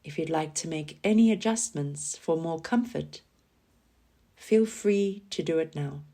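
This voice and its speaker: A woman leading a scripted guided relaxation meditation in a calm, soothing manner, giving gentle instructions with pauses. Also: deep voice. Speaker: yoga nidra guide.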